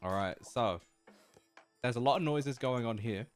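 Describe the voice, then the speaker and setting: Enthusiastic male stream host, animated pitch, chatty and quick to laugh. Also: faint music behind. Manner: eager and chatty, laughs often. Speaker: livestream host. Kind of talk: casual livestream chat.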